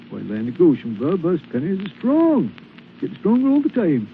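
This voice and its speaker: An actor playing a boastful, folksy old talking penny in a 1940s radio commercial, full of indignant country slang. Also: male voice, on the high side, wildly swooping pitch, normal speed, vocal fry, full character voice. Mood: proud, bragging. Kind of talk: 1940s radio ad character acting. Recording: noisy narrow-band 1940s radio recording.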